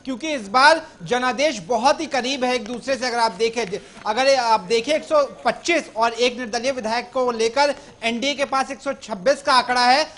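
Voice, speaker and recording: A man's voice: very high-pitched, normal speed, hardly any pauses, a bit bright. A man giving live analysis as a news correspondent, explaining things directly to the listener.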